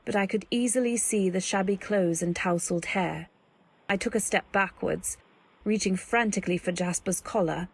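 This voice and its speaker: Woman acting a husky-voiced, timid young wife narrating. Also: mid-range voice. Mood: alarmed. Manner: measured, literary, dramatic storytelling. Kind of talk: old-time radio drama narration.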